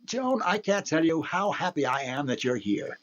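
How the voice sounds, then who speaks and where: An actor playing a polite, upbeat master of ceremonies in 1930s radio show style, on a slightly dull recording. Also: male voice, on the high side, very animated, moderate pace, noisy background. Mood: upbeat, presenting. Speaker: show host.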